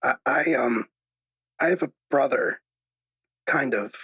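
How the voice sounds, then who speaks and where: A man playing an anxious, troubled caller to a radio show, speaking in halting, apologetic stammers. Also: medium pitch, husky. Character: anxious, troubled male caller unsure of his own reality. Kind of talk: improvised radio drama. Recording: dull lo-fi echoey call sound.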